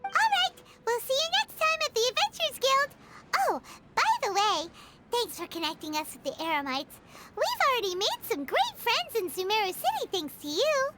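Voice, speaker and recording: A woman's voice: very high-pitched, huge pitch swings, moderate pace, over music, full character voice. A woman voicing a tiny, childlike, chatty fairy companion in a video game, exclamatory and talking about herself in the third person. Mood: perky, curious.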